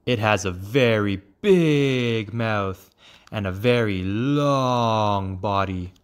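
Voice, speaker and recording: A man's voice: mid-range voice, unhurried, close to an everyday voice. A man voicing a father frog in a storybook, earnestly cautioning his young and pleading in danger.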